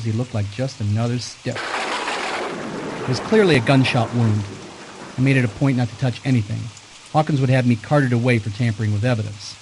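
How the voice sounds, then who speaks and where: A man playing a wry, cool-headed private detective, narrating and speaking with dry calm in an audio mystery drama. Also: medium pitch, a little hiss or hum, natural voice, rough recording.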